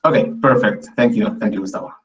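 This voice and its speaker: A man's voice: lowish voice, quick pace, far from the mic, lo-fi sound. A man from Peru giving an online academic talk in English, hesitant with many fillers and restarts. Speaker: guest lecturer.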